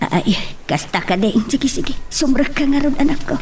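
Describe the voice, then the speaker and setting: An actress as a fussing older mother in an Ilocano audio drama. She sighs and exclaims in a drawn-out way, heard a little away from the mic. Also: high-pitched, lively intonation, recorded from a distance, slight background noise. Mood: flustered, welcoming. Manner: exclamatory, drawn-out sighing interjections. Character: fretful motherly older woman, fussing over her grown children. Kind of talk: Ilocano radio-style drama acting.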